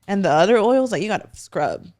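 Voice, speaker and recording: A young adult woman with a Mexican American accent from Los Angeles and a slight lisp, co-hosting a podcast in chatty, excitable casual talk. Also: mid-range voice, fairly quick, no echo, clean studio sound. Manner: chatty, excitable, gasping asides and laughter. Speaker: podcast co-host. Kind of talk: casual chat.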